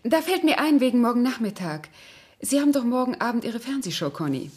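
A woman's voice: a little high, sing-song, a little fast, slightly rough. An actress playing a composed, persuasive woman who manages a household, in a radio crime drama. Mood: calmly persuasive. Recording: 1970s studio radio play recording.

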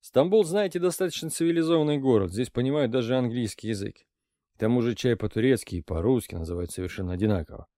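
A man reading an audiobook, voicing a shrewd, calm older intelligence officer who speaks in a dry, ironic, lecturing way. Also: medium pitch, quick pace, no room sound, close to an everyday voice. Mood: wry.

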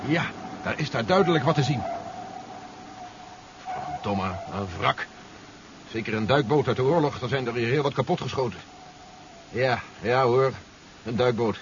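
Man acting an urgent mid-pitched submarine leader. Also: normal speed, pauses often, natural voice. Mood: urgent, excited. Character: anxious, commanding male leader aboard a submarine. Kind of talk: 1970s Dutch radio drama acting. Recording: dull old radio recording, faint noise.

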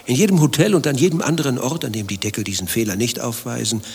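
An actor playing a vain, easily riled adulterer in a satirical German radio play, long-winded and self-justifying. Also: male voice, medium pitch, quick pace, a little mellow, breathy edge. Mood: self-absorbed, confiding. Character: vain, thin-skinned adulterer waiting for his lover.

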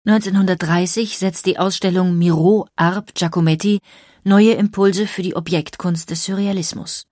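A woman narrates a scripted documentary in a fairly low voice. She sounds measured and polished, recorded very dry with no room sound. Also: fairly even intonation, a little mellow, dry recording.

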